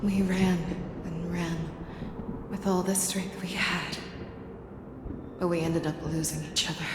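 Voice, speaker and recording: A woman playing a haunted, resolute female warrior in a video game, speaking in a grave, measured way. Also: fairly low pitch, flat delivery, noisy background, lightly acted. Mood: sorrowful, solemn. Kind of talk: dramatic game voice acting. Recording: echoey, under loud music. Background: loud dramatic music.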